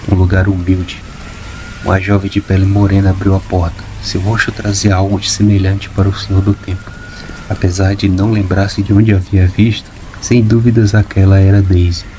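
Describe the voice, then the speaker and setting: A man narrating an audiobook story in a measured, even reading. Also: sits low, a little hiss or hum, poor audio. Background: background music.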